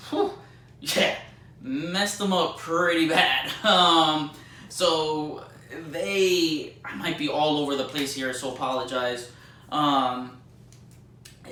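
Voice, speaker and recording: A man giving a casual, unscripted movie review, gushing excitably and often stammering over repeated words. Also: medium pitch. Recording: roomy echo, faint background noise.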